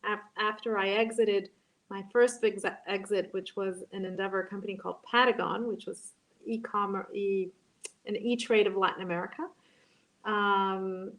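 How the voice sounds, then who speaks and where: A woman, moderating an online panel and talking in a halting way, full of ums and restarts. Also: mid-range voice, poor audio. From Brazil.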